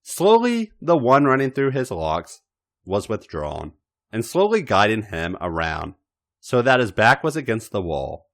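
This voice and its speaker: A man hosting a podcast and narrating erotic fiction in a theatrical, drawn-out way. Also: fairly low pitch, sing-song, fairly bright tone. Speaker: podcast host and story reader.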